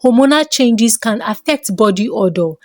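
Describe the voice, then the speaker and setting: A woman with a Nigerian accent voicing a gentle, reassuring nurse who explains things in a folktale. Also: fairly high pitch, lively intonation, normal speed, no echo, studio quality, close to an everyday voice. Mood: kind, reassuring. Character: kindly, reassuring hospital nurse.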